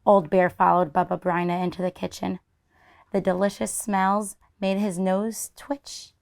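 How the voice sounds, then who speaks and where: A woman's voice: medium pitch, flat delivery, a little slow, vocal fry. A woman teacher narrating a children's story expressively, explaining it in simple asides as she goes. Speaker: teacher reading aloud.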